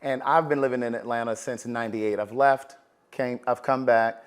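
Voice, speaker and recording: A middle-aged man hosts a relaxed sit-down conversation. He sounds friendly and chatty at an average pitch, and he often starts his sentences over. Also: moderate pace, clean tone. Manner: friendly, talks in loose restarting sentences. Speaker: host. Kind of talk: relaxed interview chat.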